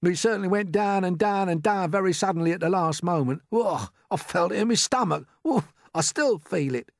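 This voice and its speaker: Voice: mid-range voice, animated, normal speed, no room sound, studio quality, pitched above the performer's own voice, natural voice. A storyteller voicing a gloomy blind earthworm who complains and expects the worst.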